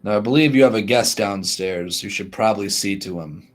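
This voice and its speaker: A man voicing a cranky male bodyguard in a tabletop game, speaking deadpan and haltingly. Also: lowish voice, close to an everyday voice. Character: gruff male bodyguard, dry and protective. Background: faint music.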